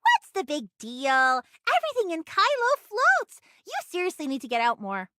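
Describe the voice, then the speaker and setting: A woman voicing an excitable, chatty young girl in an anime game, with an eager, childlike and boastful manner. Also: extremely high pitch, normal speed, slight background noise, full character voice. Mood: cheeky.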